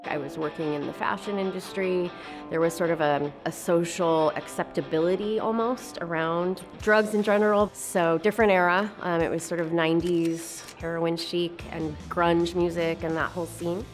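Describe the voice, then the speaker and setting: A woman with a lowish voice tells her story candidly in a documentary interview. Guitar music plays clearly behind her. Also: steady tone, moderate pace.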